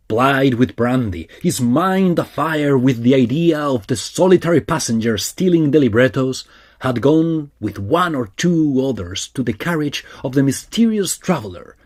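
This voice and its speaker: A man playing a courteous, dramatic Victorian gentleman who also narrates in a period audio drama. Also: mid-range voice, big pitch swings, a little slow. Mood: storytelling.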